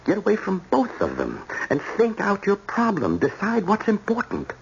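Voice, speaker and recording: An actor playing a blunt, worldly brother-in-law in an old-time radio drama, earnest, emphatic and pressing. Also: male voice, high-pitched, huge pitch swings, normal speed, vocal fry, full character voice. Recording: dull, slightly noisy old radio recording.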